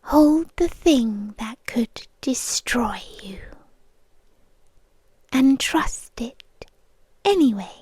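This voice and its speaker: Slow, high-voiced British vampire girlfriend, played by a woman. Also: lively intonation, a little mellow, dry recording, full character voice. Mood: possessive, menacing. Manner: breathy, drawn-out, often dropping to a whisper. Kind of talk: intimate ASMR roleplay. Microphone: close ASMR microphone.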